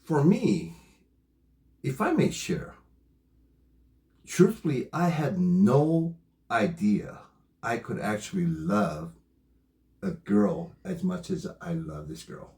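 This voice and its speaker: A man with a loud voice, laughing often, chatting with his partner on a talk show. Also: on the low side, wildly swooping pitch, slow pace, vocal fry, long pauses. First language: not English. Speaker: co-host. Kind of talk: casual couple conversation. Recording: echoey room.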